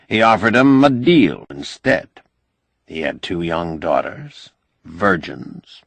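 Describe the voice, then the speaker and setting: An audiobook figure of a learned, crusty older man, acted by a male reader. The voice is low and gravelly with a dry, close sound. He argues like a sardonic lecturer, pedantic and wry. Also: dry recording, heavily acted. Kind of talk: audiobook character dialogue.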